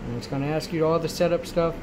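A man giving a casual, rambling software tutorial, often checking in as he goes, recorded at home on a handheld camera. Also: a little high, fast. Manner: rambling, checks in with frequent "right?". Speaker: tutorial host. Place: indoors in a room at home. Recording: noisy amateur home recording. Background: clear steady background noise.

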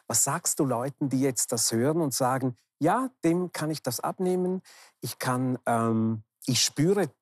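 Male talk host, fifties, medium-pitched and husky, conversational, feels for his words, close dry mic. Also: measured pace, no room sound. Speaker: talk show host, interviewer. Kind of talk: conversational interviewing. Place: large indoor bar hall, seated interview. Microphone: lapel microphone.

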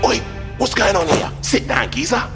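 A man's voice: sits high, sing-song, normal speed, gravelly, loud music behind the voice, exaggerated voice. Barking London bank robber, acted by a man. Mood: menacing, alarmed. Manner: barking, slangy.

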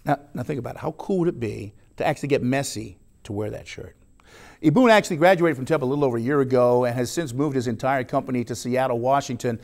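Middle-aged sports anchor in a tv studio, upbeat and joking, average voice. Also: male voice, mid-range voice, fast talker, gravelly. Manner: lively, joking anchor delivery. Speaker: sports show host.

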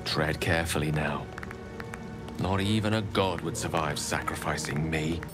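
An actor playing a measured, wry, self-assured mage in a fantasy game, dry and understated. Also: male voice, a bass voice, fairly even intonation, over music. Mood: dry warning. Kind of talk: fantasy video game acting.

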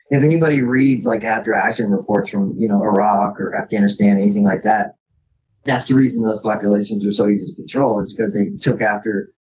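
A man with an American accent and a raspy, creaky voice talks casually as an interview guest. He explains in a practical, informal way, often checking that he is followed. The recording is dull and lo-fi. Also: on the low side, a little mellow. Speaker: guest on a survival podcast.

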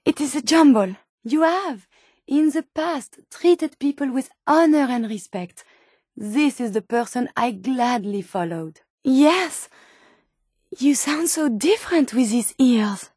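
A high, closely recorded female voice with a French accent, an earnest video game medical robot who is learning to be human. She speaks in formal, carefully chosen words, with open curiosity and tender feeling. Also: slow, breathy edge, no room sound, studio quality, full character voice. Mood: tender, hesitant. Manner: precise, formal, expressive diction. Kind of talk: video game character dialogue.

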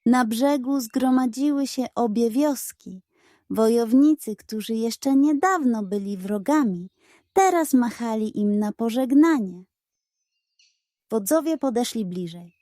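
Slow, mellow woman storyteller. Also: fairly high pitch, lively intonation, no room sound, clean studio sound. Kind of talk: scripted bedtime story narration for children. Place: close, dry recording.

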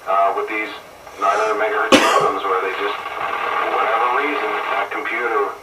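A man's voice: fairly low pitch, fairly even intonation, normal speed. A man having a casual technical chat over a two-way radio, heard through the set's speaker. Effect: two-way radio transmission. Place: picked up in a room from a radio's speaker. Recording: noisy radio speaker, distant and roomy.

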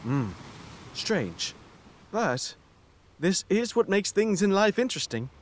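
A man's voice: sits high, sing-song, slow pace, slight background noise, full character voice. An actor playing an easygoing male adventurer in an audio drama.

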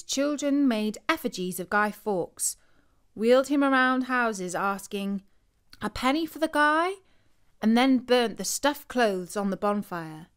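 A woman with a British accent narrating a scripted bedtime story for children. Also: medium pitch.

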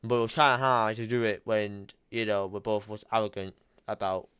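A man's voice: lowish voice, steady tone, a little fast, fairly bright tone, clear, resonant tone, no echo. A young man talking casually on a call from his home gaming room, blunt and emphatic, swearing now and then. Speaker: YouTuber.

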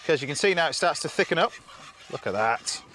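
A man, a TV chef chatting through a cooking demonstration outdoors, instructive and quick to laugh. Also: a little high, expressive, bright, forceful tone, a little hiss or hum. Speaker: TV chef host. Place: outdoors at a campsite.

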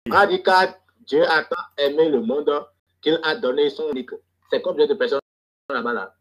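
A man's voice: on the high side, normal speed, muffled recording. A man from Togo in a live debate, challenging and pressing, repeating his demands over a call.

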